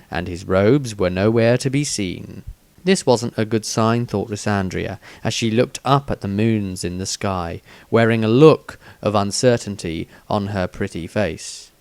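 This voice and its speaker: A man reading a fantasy story aloud in steady, measured scripted narration. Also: fairly low pitch, clean tone, no echo. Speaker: audiobook reader.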